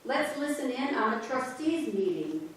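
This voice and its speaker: A woman narrating a church history in an amateur reader's theater, with steady storytelling. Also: high-pitched, a little slow, slight background noise. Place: church sanctuary. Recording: echoey church from far off.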